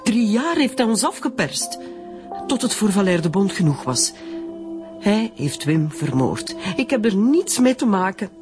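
An actress with a Flemish accent playing a bitter widow making a confession in a radio drama. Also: female voice, medium pitch, sing-song, a little slow, music in the background. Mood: pleading. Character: bitter, confessing middle-aged widow of an undertaker.

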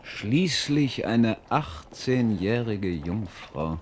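An actor playing a shrewd, measured adviser to a king, formal and deliberate, in a German radio play. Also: on the low side, expressive. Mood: dry storytelling. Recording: 1970s radio drama, faint background noise.

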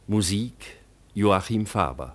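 A man working as a radio announcer, reading scripted credits in a formal, measured manner. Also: on the low side, animated.

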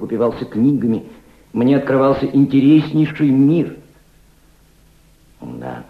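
An actor playing a proud, cultured middle-aged aristocrat turned revolutionary diplomat, reflective, oratorical and fatherly, in a radio drama. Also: medium pitch. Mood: reminiscing. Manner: reflective, oratorical, fatherly toward a young listener. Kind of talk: Soviet radio drama acting. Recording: dull, roomy old radio play recording.

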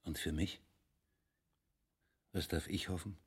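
An actor in a literary radio drama playing an earnest, restrained gentleman officer with formal speech. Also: deep voice, steady tone, slow pace, breathy edge, full character voice. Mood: pleading.

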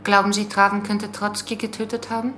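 A woman's voice: mid-range voice, flat delivery, brisk, sharp tone, a little hiss or hum, close to an everyday voice. Matter-of-fact sceptical woman investigator played by an actress. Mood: inquiring. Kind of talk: radio play acting.

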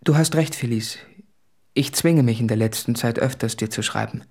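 An actor playing an anguished, self-tormenting suitor in an earnest, deliberate literary monologue for radio drama. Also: male voice, mid-range voice, a little mellow, close to an everyday voice. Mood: confessional.

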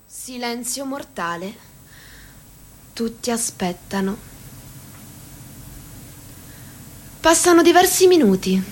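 A woman's voice: on the high side, sing-song, a little slow, darkish tone. A woman playing the dramatic, hesitating narrator of an Italian radio drama about a political meeting. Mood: suspenseful. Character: female storyteller narrating grotesque political meeting.

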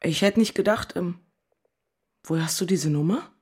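Emotionale, verliebte Berlinerin im Hörspiel. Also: female voice, fairly low pitch, moderate pace, darkish tone, slightly rough. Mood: surprised, flustered. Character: emotional, lovestruck single woman in Berlin, anxious and exalted. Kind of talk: German radio play acting.

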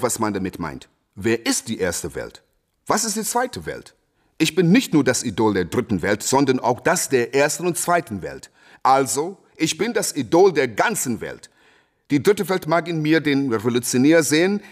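An actor playing a defiant, cocky American boxing champion in a German radio play, boastful and emphatic. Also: male voice, mid-range voice. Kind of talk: German radio play acting, interview monologue.

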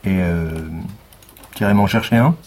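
An actor playing a wry, world-weary police lieutenant in a radio drama, deadpan and ironic. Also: male voice, low voice, a little slow. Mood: exasperated, dry. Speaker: narrator and lead character. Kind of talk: scripted radio fiction acting.

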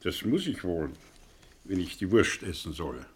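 A worn but proud professor with a husky voice and very animated intonation. He is ironic and learned, talking down in the manner of a radio play. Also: deep voice, full character voice. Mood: dry, sardonic. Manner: ironic, erudite, halting when agitated. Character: sardonic, condescending older male professor, worn and proud.

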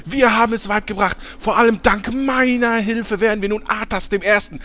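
A man's voice: sits high, moderate pace, a bit bright. A man voicing a self-important, hapless hero in a parody audio drama, pompous and easily rattled. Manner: pompous, overexcited.

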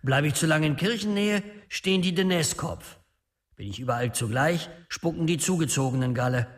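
A man's voice: fairly high pitch, moderate pace, close to an everyday voice. An actor playing an aggrieved small-town man who grumbles and complains, in a radio drama.

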